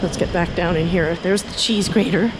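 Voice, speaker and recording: A woman's voice: fairly low pitch, fairly even intonation, moderate pace. A woman giving casual, off-the-cuff walking commentary as a video host, chatty and rambling with wry asides to herself. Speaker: host and tour guide of a city walk video. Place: out and about at a London skyscraper and its streets. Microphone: handheld camera on a stick. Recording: noisy outdoor ambience with city traffic.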